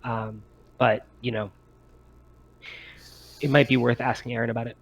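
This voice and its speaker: A man's voice: medium pitch, level tone, moderate pace, creaky, husky, poor audio. A man running a tabletop game, explaining things casually with easygoing, hesitant phrasing over some background noise. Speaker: game master. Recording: faint background room noise.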